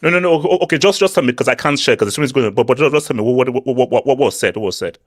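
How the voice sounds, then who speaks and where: A man hosts a football reaction chat in a mid-pitched voice that swings up and down freely. He talks playfully and fires off repeated words in bursts. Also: animated, racing, no room sound, studio quality. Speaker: football channel host.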